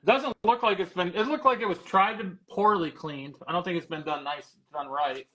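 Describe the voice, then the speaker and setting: A man's voice: high voice, normal speed, pushed and bright, rough recording. A man casually selling comic books on a live stream, quoting grades and prices in an easygoing way and laughing often. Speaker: comic book seller on a live sale. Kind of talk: casual live sales chat.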